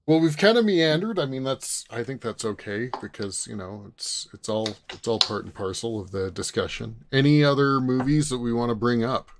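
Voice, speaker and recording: Man, podcast host, mid-pitched voice, casual chat, meandering hesitant phrasing. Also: moderate pace, few pauses, slight background noise.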